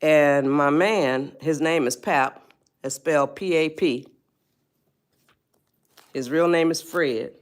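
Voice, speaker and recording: Slow-talking woman in her eighties, folksy livestream host who calls her viewers y'all. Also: deep voice. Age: 80s. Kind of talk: casual livestream talk.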